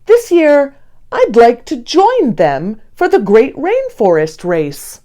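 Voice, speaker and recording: A woman's voice: high-pitched, huge pitch swings, slow, dark tone, voice pushed up, exaggerated voice. A woman voicing a pleasant, earnest male sloth character from a children's storybook, expressively. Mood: hopeful, determined.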